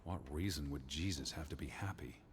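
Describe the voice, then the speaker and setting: Serious 1920s naturalist for a game, deep voice, formal and precise, some noise behind him. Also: male voice, a little fast. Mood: matter-of-fact. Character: stern, learned 1920s American naturalist, earnest and skeptical. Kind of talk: naturalistic video game dialogue.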